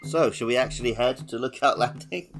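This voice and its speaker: A man co-hosting a gaming podcast, explaining things in a relaxed conversational style. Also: medium pitch, fairly quick, soft background music. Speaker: podcast co-host. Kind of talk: casual chat about video games.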